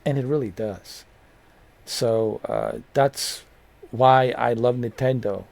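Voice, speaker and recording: A man's voice: mid-range voice, takes it slowly, vocal fry, darkish tone. Rambling man in his forties. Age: 40s. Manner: rambling, repeats phrases, many fillers. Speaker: gaming channel host. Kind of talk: casual talk to camera.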